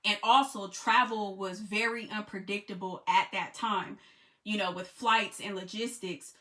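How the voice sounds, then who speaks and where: An American woman telling a travel story in a relaxed, conversational way, with many "you know" fillers. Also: mid-range voice, a little slow. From United States. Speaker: travel vlogger and group trip leader. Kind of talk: casual storytelling to camera.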